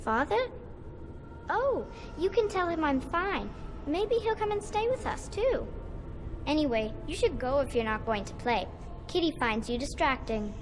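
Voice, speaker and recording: A woman's voice: high-pitched, expressive, a little slow. A woman voicing a playful, stubborn little girl with a childlike, sing-song manner. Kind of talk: video game cutscene voice acting. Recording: game audio with music behind.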